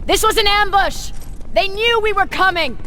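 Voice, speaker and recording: A woman's voice: very high-pitched, normal speed, noisy background. A woman playing a tough, commanding resistance squad leader in a combat video game. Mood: urgent, commanding.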